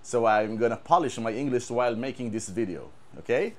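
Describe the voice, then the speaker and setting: A man with an Israeli accent, a guitar tech, talking casually and haltingly, repeating words and using many fillers. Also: medium pitch, expressive. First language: Hebrew. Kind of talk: casual show-and-tell chat.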